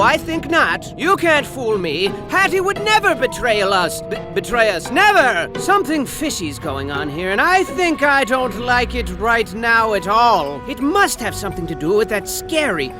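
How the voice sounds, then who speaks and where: A man playing an excitable, silly cartoon game narrator who rambles in a theatrical, mock-dramatic way. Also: extremely high pitch. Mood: mock-indignant. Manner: theatrical, exaggerated, rambling, mouths his own sound effects. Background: music.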